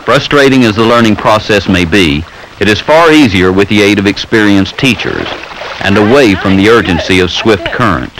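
A man with a fairly low voice narrates an instructional film. His delivery is measured and earnest. The sound is that of an old film soundtrack with clear noise. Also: animated. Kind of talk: scripted training film narration.

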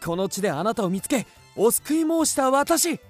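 An impetuous, haughty young gentleman, swooping intonation. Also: very high-pitched, big pitch swings, moderate pace, faint music behind, full character voice. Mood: haughty, insistent. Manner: florid, overwrought declamation. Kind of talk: theatrical radio drama acting.

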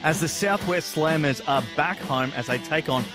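Higher-pitched Australian male radio host over music. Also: moderate pace. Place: small radio studio. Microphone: close studio broadcast mic on boom arm.

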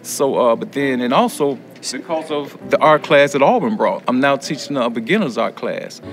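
A middle-aged American man speaks candidly, answering as an interviewee. His voice sits at an ordinary male pitch, with plucked guitar playing clearly underneath. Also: lively intonation, moderate pace. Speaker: prison education student. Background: acoustic guitar music.

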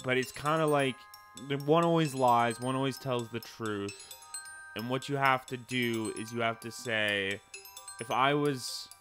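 A man in his thirties streaming a game from a small room, chatting casually, rambling and laughing often, swearing freely. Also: medium pitch. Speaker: gameplay streamer. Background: loud game music.

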